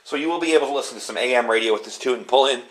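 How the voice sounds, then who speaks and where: A middle-aged man with a medium-pitched voice hosts an informal demonstration at his workbench. He explains things in a chatty, casual way, talking viewers through the gear step by step. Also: racing. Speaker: video host demonstrating gear. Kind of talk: casual hands-on product walkthrough. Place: indoor workbench.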